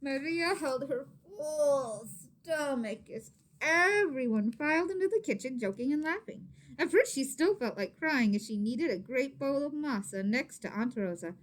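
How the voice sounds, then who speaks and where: A woman reading a story aloud to children expressively, with dramatic emphasis and hushed asides to her listeners. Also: sits high, expressive, a little slow, poor audio. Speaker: teacher or reader of a children's story.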